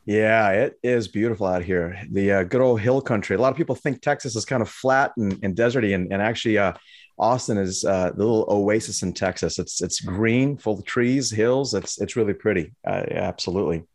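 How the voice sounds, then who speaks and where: Middle-aged man from Lebanon, interview guest, somewhat low voice, dry close mic, halting restarts. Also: no echo. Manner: halting, many restarts and fillers. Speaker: guest, trading educator. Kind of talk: casual interview talk.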